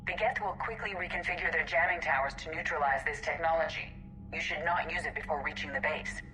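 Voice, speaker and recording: A woman's voice: low-pitched, steady tone, brisk, close to an everyday voice. An actress voicing a composed female starship artificial intelligence in a video game, precise and matter-of-fact. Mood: composed, advisory.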